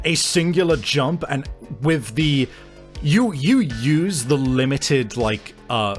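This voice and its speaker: A man running a tabletop roleplaying game as game master, narrating in a casual, excitable, sweary way. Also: mid-range voice. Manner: casual, profane, excitable storytelling. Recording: music playing behind the voice. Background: background music.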